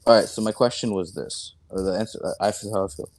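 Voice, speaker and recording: A man with a deep voice answering accusations haltingly, in a flat, even way of talking. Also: no room sound. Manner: hesitant, halting, monotone. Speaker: content creator answering accusations.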